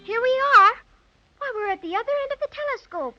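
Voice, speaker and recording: Very high-pitched little girl. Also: animated, fairly quick, over music. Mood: amazed. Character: excitable, polite, wonder-struck little girl. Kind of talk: 1930s radio acting. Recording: muffled noisy 1930s radio recording.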